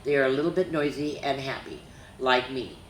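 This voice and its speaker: A woman's voice: rumbling low, steady tone, a little fast, far from the mic, slight background noise. A woman voicing a happy little magic glass ornament in a storybook, chirpy and cheerful. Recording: roomy echo.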